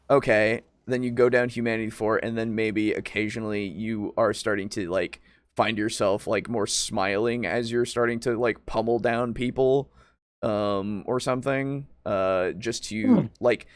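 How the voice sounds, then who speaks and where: Male podcast co-host, slightly low pitch, dry recording, casual and hesitant, thinks out loud as he goes. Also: steady tone, a little slow, smooth, no room sound. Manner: rambling, hesitant, thinking aloud. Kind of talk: casual discussion.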